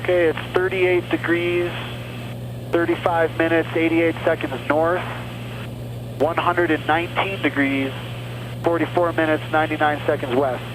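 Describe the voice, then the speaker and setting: A male pilot with a slightly high voice speaks casually over a cockpit headset. There is clear engine drone behind him from the small aircraft in flight. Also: level tone, normal speed, background noise. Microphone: aviation headset.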